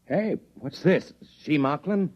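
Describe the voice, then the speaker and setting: An actor playing a blunt, exasperated head trader, impatient and dry, in 1950s radio drama style. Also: male voice, on the high side, expressive, slow, darkish tone, faint music behind. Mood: surprised, eager. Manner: blunt, impatient, dryly sarcastic. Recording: dull old radio recording.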